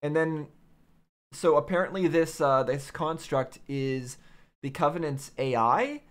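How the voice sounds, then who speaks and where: A man giving casual reaction commentary, haltingly thinking aloud as a video host. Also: a little high.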